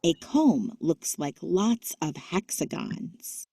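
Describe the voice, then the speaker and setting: A woman with a lowish, soft-edged mellow voice narrates an educational children's book. She reads very slowly and carefully, with lively rises and falls, in a dry recording. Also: creaky, slightly rough, no echo.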